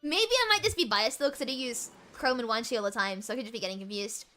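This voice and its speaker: Hoarse, excitable woman streamer. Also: fairly high pitch, fast talker. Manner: excitable, gushes and trips over words. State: hoarse, losing her voice to a cold. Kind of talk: reading game dialogue aloud on a live stream. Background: game music underneath.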